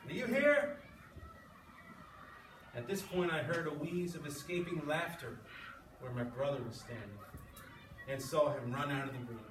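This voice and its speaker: A male schoolteacher with a slightly high voice, talking informally to his class as he reads and discusses. He is some way from the mic in an echoey classroom with clear background noise. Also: steady tone, normal speed, recorded from a distance. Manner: informal, checking with listeners. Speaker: sixth-grade English teacher. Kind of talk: classroom read-aloud and discussion.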